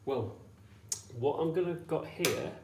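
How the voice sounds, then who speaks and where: A man's voice: on the high side, lively intonation, slow pace. Male science teacher in an echoey room. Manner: explanatory, checks understanding with short tag questions. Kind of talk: informal hands-on demonstration lesson. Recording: echoey room with faint background noise.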